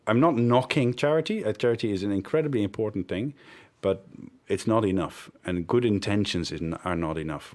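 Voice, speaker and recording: A middle-aged man from the Netherlands, whose first language was Dutch, answering interview questions thoughtfully with frequent hesitations. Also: sits low. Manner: thoughtful, hesitant, with fillers and self-corrections. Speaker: interview guest, director of a development network. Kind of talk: reflective conversational interview answers.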